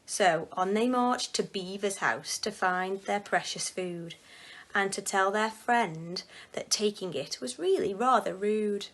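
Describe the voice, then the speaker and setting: A woman storyteller reads in rhyme for children at a medium pitch. Her delivery is expressive and measured like a read-aloud picture book. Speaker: school staff member, storyteller.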